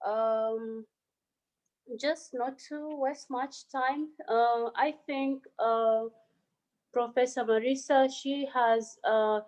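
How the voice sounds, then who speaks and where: Female panelist, slightly high voice; earnest, filler-heavy delivery over a phone. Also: fairly even intonation, extremely slow, darkish tone, rough recording. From South Sudan. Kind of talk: online panel presentation.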